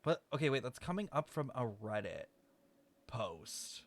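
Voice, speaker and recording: A man's voice: medium pitch, sing-song, unhurried. A man giving casual live game stream commentary, musing aloud in informal, slangy speech.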